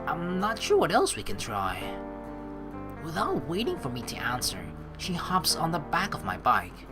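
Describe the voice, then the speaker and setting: A woman streams a game with casual, dramatic commentary. Her voice sits a bit low but moves with great expression, and she swears freely over faint game music. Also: wildly swooping pitch, moderate pace. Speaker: let's play streamer. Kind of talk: casual gaming commentary, reading game text aloud and improvising replies.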